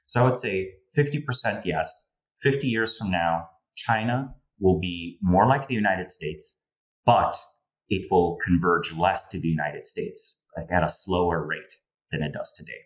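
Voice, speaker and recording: Male interview guest, thirties, Slovenian accent, deep register, explanatory and discursive, recorded a bit lo-fi over video call. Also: measured pace. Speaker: guest political analyst. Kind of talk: conversational analysis in an interview. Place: home room with bookshelves, indoors. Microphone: earphone headset on video call. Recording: slightly dull video-call audio.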